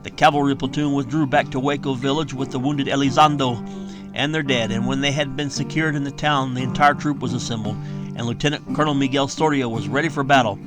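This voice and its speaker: A man's voice: medium pitch, fairly even intonation, quick pace. A man reading his historical western novel aloud as a theatrical, emphatic audiobook narrator. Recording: guitar music behind the voice. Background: plucked guitar music.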